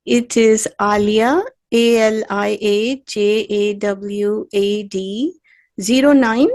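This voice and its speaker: A woman's voice: mid-range voice, steady tone, takes it slowly, darkish tone. A woman interviewing someone conversationally, with short questions and brief acknowledgements.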